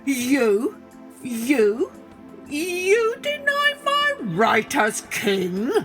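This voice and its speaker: A woman's voice: high voice, wildly swooping pitch, drawn-out words, a bit bright, faint music behind, pitched above the performer's own voice, heavily acted. A woman voicing a proud male lion, king of the forest, with scornful, haughty declarations in a storybook tale. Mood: furious.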